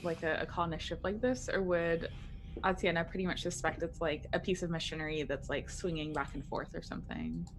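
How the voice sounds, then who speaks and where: A woman's voice: fairly low pitch, steady tone, fairly quick, a little hiss or hum. A woman playing a tabletop roleplaying game, chatting casually and asking things in a tentative way.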